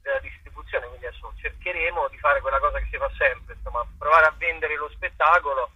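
A man's voice: a little high, quick pace, husky, no room sound, phone-quality sound. A man answering interview questions conversationally as an actor and playwright, hesitant and searching for words, often repeating himself.

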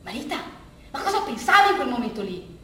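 Woman doing a dramatic stage monologue, highish voice, really echoey room with a bit of noise. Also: big pitch swings, pushed and bright. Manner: dramatic, halting repetitions. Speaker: monologue performer. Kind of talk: theatrical monologue storytelling.

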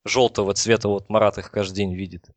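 A man with a fairly low voice talks through technical details in a casual podcast discussion. The sound is close and dry, and he explains things in a conversational way. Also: level tone, a little fast, no room sound.

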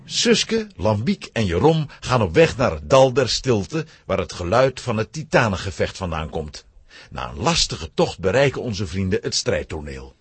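A man narrating a children's adventure story in a scripted, dramatic, expressive style with a husky voice. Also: fairly low pitch, normal speed, no room sound.

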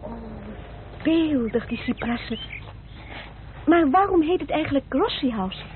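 Wife, radio drama: well-mannered, inquisitive, swooping intonation, thin sound with faint noise. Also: female voice, high-pitched, unhurried. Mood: polite, curious. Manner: polite, expressive, questioning. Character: polite, curious, mildly exasperated politician's wife. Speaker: actress in a radio play. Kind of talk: Dutch radio drama acting. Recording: tinny old radio recording, faint noise.

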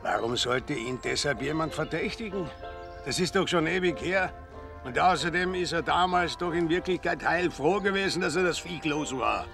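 Gossipy Austrian pub regular for radio play, dry and teasing, gravelly, music behind. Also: male voice, on the high side, normal speed. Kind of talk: naturalistic radio drama acting.

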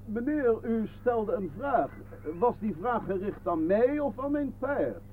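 A man's voice: extremely high pitch, expressive, unhurried. An actor playing a courteous, whimsical horseman who speaks formally and musingly in a radio play. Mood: courteous, evasive. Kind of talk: absurdist radio play acting. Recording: noisy, phone-like old radio recording.

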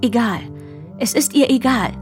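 A woman performing as a detached narrator of a young woman's story in a radio drama, measured and matter-of-fact. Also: fairly high pitch, soft background music, close to an everyday voice. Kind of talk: literary radio drama narration, third person.

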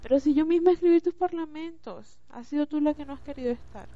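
Woman as a very mellow, sardonic young witch. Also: sits high, fast talker. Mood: aggrieved. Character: bossy, sarcastic, forgiving young witch prefect. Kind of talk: amateur radio play acting. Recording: dull home-made recording.

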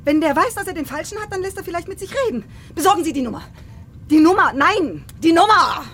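An actress playing a tough, hot-tempered police investigator who is blunt, commanding and sarcastic, in a radio crime drama. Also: female voice, extremely high pitch, animated, fast talker, fairly bright tone, a little hiss or hum. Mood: furious, shouting.

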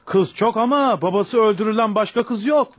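Radio drama: male actor as nosy, suspicious villager. High voice, insistent, tale-telling delivery. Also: a little fast, a crowd in the background, close to an everyday voice.

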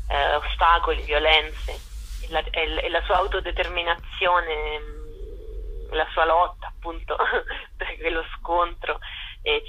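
A woman giving an informal radio interview as a guest theatre artist, halting and repeating words as she searches for phrasing, over a telephone line. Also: sits low, pushed and bright, breathy edge.

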